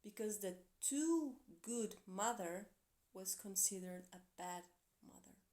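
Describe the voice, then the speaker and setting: A woman's voice: medium pitch, expressive, slow. Portuguese-accented woman giving an earnest tarot reading. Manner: earnest and encouraging, with soft, quiet asides. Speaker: tarot reader. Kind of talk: tarot reading for a zodiac sign.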